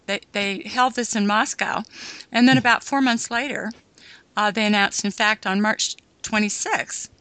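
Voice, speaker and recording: A woman hosting a talk radio interview, speaking in a measured way with frequent pauses and fillers. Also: on the high side, sharp tone, no room sound, rough recording. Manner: measured, halting with many fillers. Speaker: radio host.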